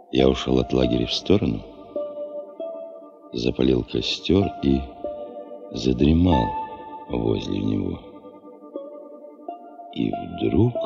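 An actor playing a brooding, weary but driven veteran gold geologist in a radio play, gravelly and slow, pausing as he reflects. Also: rumbling low, heavily acted. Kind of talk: 1970s Soviet radio play acting. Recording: dull 1975 radio play recording.

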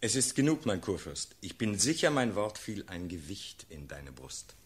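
Husky, low-voiced earnest officer. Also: male voice, very animated. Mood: respectful, assured. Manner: measured, declamatory storytelling. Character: earnest, steadfast officer count pleading before his sovereign. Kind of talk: classical German radio play acting in verse.

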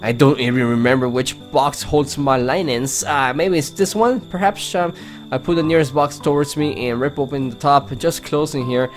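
A man's voice: medium pitch, steady tone, moderate pace. A man hosting a let's play, reading game text aloud with casual commentary. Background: game music.

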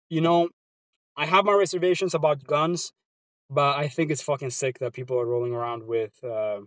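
A man's voice: on the high side, dry recording. A man from Sweden with a Swedish accent, chatting casually with viewers on a live stream from inside his car, laid-back and slangy.

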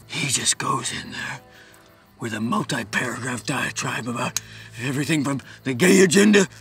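Grizzled old storyteller, gravelly creak, ominous, dramatic, comedy sketch, music underneath. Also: male voice, mid-range voice, expressive, moderate pace, fairly bright tone. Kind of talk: spooky campfire tale in comedy sketch.

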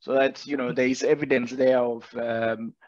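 A man's voice: medium pitch, little pitch movement, moderate pace, a little mellow, a little hiss or hum. Kenyan man hosting a video call. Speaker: host. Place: indoor room. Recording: dull, dry video call audio.

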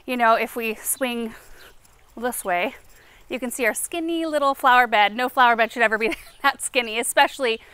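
A woman's voice: a little high, moderate pace, pushed and bright, clean tone, no echo. A woman in her thirties hosting a casual walking garden tour outdoors, chatty and enthusiastic as she points out plants. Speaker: garden show host.

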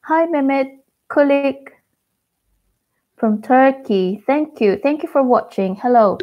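A woman's voice: high-pitched, slow pace, lo-fi sound, slight background noise. A Filipino woman in her thirties with a Filipino accent, chatting casually on a live unboxing stream, counting snacks aloud and greeting viewers by name. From Philippines. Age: 30s. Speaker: vlogger. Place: living room at home, indoors. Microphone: wired earphone mic on webcam.

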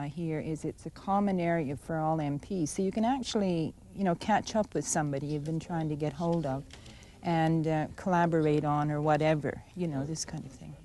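An older woman answering interview questions in an unhurried way, with fillers. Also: deep voice, mellow, a little hiss or hum. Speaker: member of parliament interviewed.

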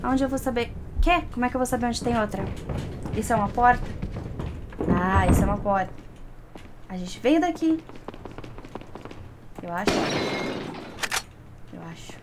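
Young Brazilian woman gamer over loud game noise. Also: a little high, normal speed, pauses often. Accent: Brazilian Portuguese. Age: young adult. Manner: playful, chatty, talking to herself and the game. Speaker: gaming streamer. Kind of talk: casual gameplay commentary. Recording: loud game sound behind voice. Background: loud game ambience.

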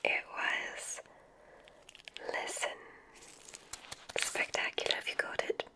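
Woman whispering a slow ASMR roleplay. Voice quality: breathy whisper. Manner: gentle, soothing, deliberate, encouraging.

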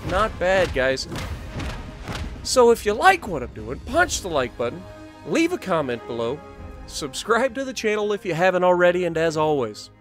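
A man's voice: high-pitched, big pitch swings, moderate pace, a bit bright, soft background music. A young American man casually telling a story over tank game play in short, emphatic phrases, as host of a gaming video.

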